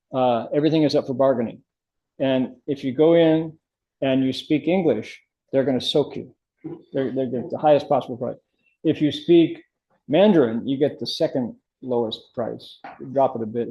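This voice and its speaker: A man's voice: mid-range voice, dark tone, poor audio. An older man leading a meditation class in casual, chatty discussion, often restarting his sentences and affirming students.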